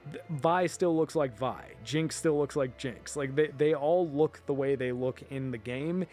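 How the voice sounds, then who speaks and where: A man hosting a video, giving casual, rambling film and TV commentary in an informal, emphatic way, often halting mid-thought. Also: medium pitch, a little mellow, faint music behind. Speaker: video host.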